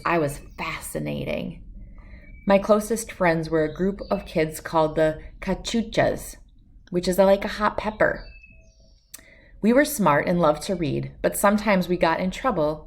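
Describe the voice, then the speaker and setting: A woman's voice: lowish voice, moderate pace. A woman reading a children's picture book aloud as host, telling it expressively in the first person. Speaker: storybook read-aloud host.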